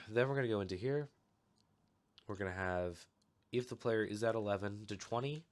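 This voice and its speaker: A young man recovering from a cold, calmly walking through a step-by-step game tutorial with a slightly off voice. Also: low voice. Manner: relaxed, explanatory, steady walkthrough with fillers. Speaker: channel host giving a game tutorial. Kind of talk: casual screen-recorded tutorial.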